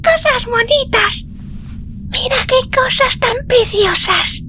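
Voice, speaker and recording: Man putting on a squeaky little girl voice. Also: bright, forceful tone, a little hiss or hum, exaggerated voice. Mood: delighted. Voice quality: squeaky, childlike. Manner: slow, earnest, reproachful. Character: innocent, serious little girl of five, frank and plaintive.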